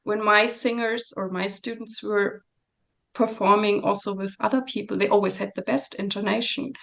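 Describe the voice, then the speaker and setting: Woman, singer, interview, halting and reflective, some vocal fry, middle pitch. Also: steady tone, a bit bright. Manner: thoughtful, halting, with many restarts and fillers.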